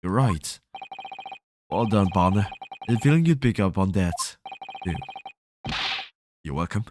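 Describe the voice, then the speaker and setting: A man streaming a game, reading the dialogue aloud at a deliberate pace, then chatting playfully to his viewers. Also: low-pitched, lively intonation, background noise. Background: game music.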